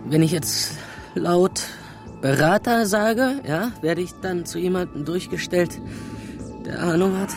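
A man's voice: high voice, unhurried, vocal fry, faint music behind. A young man playing a confused, sarcastic schoolboy who has woken in a virtual world, in a radio drama.

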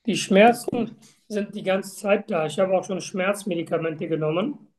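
A woman role-playing a patient in a medical interview, giving short, deliberate, matter-of-fact answers. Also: medium pitch, a little mellow, a little hiss or hum. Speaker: simulated patient in medical exam practice.

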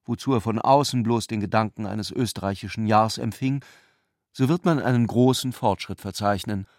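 A man narrating literary prose for a radio play in a measured, precise manner, recorded in a studio. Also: on the low side, dry recording. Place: dry studio.

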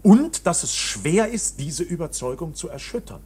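An actor in a German radio play playing an anxious, bewildered man accused by a court, speaking in a halting, agitated, insistent way. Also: fairly high pitch, sing-song, darkish tone, slight background noise. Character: anxious, bewildered accused man, protesting his innocence, indignant.

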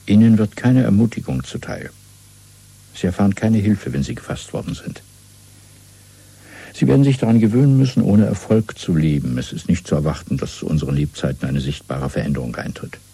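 A senior party official from a radio drama, coolly authoritative and secretive. His voice is dark and very husky, recorded close and dry. He instructs in measured, deliberate sentences. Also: deep voice, animated, dry recording, full character voice. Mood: grave, stern. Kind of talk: 1970s German radio play acting.

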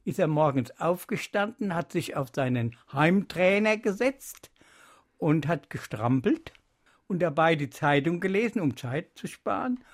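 An older man reminiscing in an interview, with halting, emphatic phrasing. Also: a little high, animated. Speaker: interviewee, former radio drama editor.

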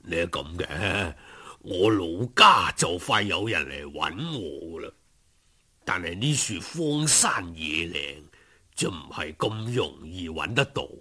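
Deep, husky, genial old gentleman. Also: full character voice. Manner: unhurried, old-fashioned courteous speech. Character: genial old gentleman, courteous, chuckling, insistent matchmaker. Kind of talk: storybook character acting.

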